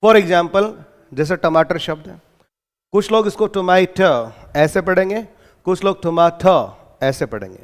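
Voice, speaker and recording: A man with an Indian accent giving an exam-coaching lecture, reading English lines slowly and explaining them in Hindi with teasing asides. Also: sits high, expressive, long reverb tail.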